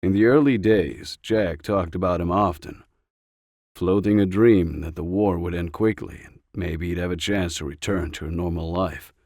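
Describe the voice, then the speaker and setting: A man's voice: deep voice, normal speed, darkish tone, no echo, studio quality. A man reading a short story as a scripted audiobook narration.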